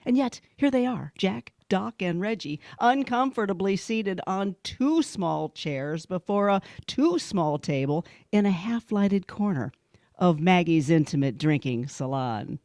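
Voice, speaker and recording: A woman narrating an old-time radio detective drama, setting the scene in a measured, scripted delivery. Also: on the low side, expressive, darkish tone, no echo, clean studio sound.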